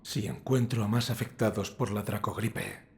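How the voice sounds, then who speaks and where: A man voicing a terse, stoic shinobi warrior in a video game, with curt, restrained questions and a gravelly tone. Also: fairly even intonation, moderate pace, darkish tone. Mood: guarded, curt. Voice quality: low, gravelly.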